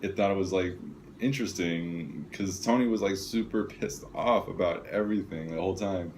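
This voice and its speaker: A man's voice: low-pitched, normal speed, a little mellow, a little hiss or hum. A man co-hosting a casual film discussion podcast in a slangy, loosely phrased way. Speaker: podcast co-host.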